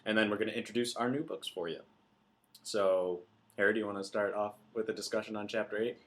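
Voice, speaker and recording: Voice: mid-range voice, fairly even intonation, fast. A podcast host leading a casual book review chat in a joking, irreverent way.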